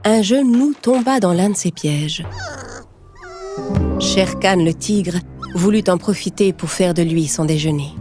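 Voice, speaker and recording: A woman narrating a scripted children's story in a measured storytelling manner. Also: lowish voice, over music.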